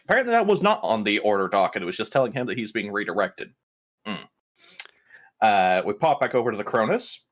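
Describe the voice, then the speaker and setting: A man playing in a tabletop game, casually improvising a recap with irreverent, profane jokes. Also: medium pitch. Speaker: tabletop RPG player giving a session recap.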